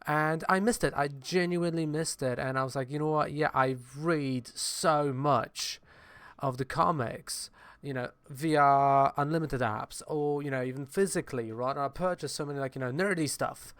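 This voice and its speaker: A man's voice: on the high side, normal speed, a bit bright, clean tone, no room sound. Polish man rambling in a casual vlog. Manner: rambling, frequent fillers and self-corrections. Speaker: channel host.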